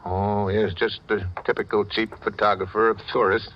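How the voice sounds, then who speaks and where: An actor playing a tough, bewildered fugitive in an old-time radio drama, with an American accent and a clipped, colloquial delivery. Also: male voice, fairly low pitch, level tone, normal speed, close to an everyday voice. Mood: brooding. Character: tough, bewildered American man on the run, falsely accused. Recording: dull, noisy vintage radio recording.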